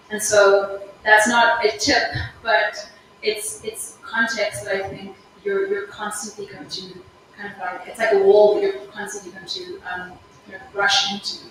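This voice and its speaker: A woman's voice: medium pitch, fairly bright tone. A woman speaking on a panel discussion in an earnest, reflective way, asking many rhetorical questions. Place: large conference room at an event. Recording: echoey room, distant mic, background noise.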